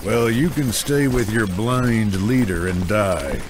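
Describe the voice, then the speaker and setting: Sardonic scout looking out for himself, kinda deep, fantasy audiobook, noise in back. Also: male voice, animated, slow pace. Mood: grim, defiant. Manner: wry, defiant, plain-spoken. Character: cynical, self-preserving scout, man. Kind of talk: dramatised fantasy audiobook acting.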